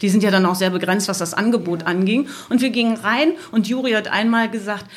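A woman's voice: mid-range voice, fairly even intonation, quick pace. A middle-aged woman tells a casual, detailed travel story, wandering and correcting herself as she goes. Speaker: podcast guest, travelling mother.

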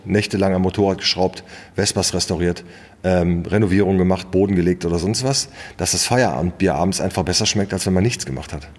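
A man's voice: sits low, husky. A middle-aged man with a Ruhr German accent, telling colloquial anecdotes casually as an interview guest. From Ruhr area, western Germany. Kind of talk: casual storytelling in conversation. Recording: long hall reverb.

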